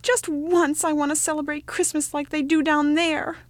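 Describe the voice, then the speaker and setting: A woman's voice: little pitch movement, normal speed, dry recording, lightly acted. A woman voicing the youngest child of the Star Mother, a small star boy who whines and pleads to celebrate Christmas. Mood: pleading. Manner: high, wheedling and wistful.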